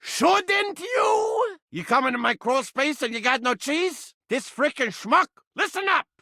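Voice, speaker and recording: A brash, cranky talking rat trap from a comedic video game, with a thick-skinned Brooklyn street-tough manner and accent. The voice is sharp and forceful and swings wildly up and down. It is recorded close and dry, and every line is theatrical and wisecracking. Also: male voice, very high voice, lively intonation, measured pace, no room sound, full character voice. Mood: pushy, exasperated. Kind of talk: exaggerated comedic video game character acting.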